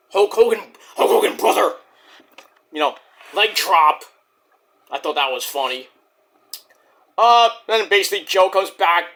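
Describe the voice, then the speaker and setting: High, bright-voiced man with a sore throat. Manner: rambling, profane, excitable bursts. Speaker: wrestling show reviewer. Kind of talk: casual spoken review.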